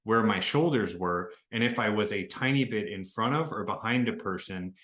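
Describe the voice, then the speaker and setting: A man hosting a podcast, with a naturally deep voice, musing in a loose, casual way. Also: moderate pace, a bit bright. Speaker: podcast host. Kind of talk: conversational interview.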